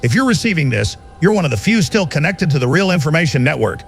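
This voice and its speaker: An actor playing a paranoid, fervent conspiracy theorist delivering an urgent bunker broadcast in an audio drama, with a gravelly voice. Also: male voice, on the high side, very animated, quick pace, soft background music. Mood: grimly insistent. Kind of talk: audio drama monologue as pirate radio broadcast.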